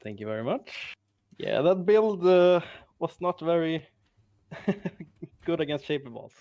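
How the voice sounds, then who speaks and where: Swedish-accented man, easygoing guest, gravelly tone, dry close sound. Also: a little high, sing-song, a little slow, dark tone, no room sound. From Sweden. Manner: laughs often, modest and easygoing. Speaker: interviewed tournament winner. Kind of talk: casual interview.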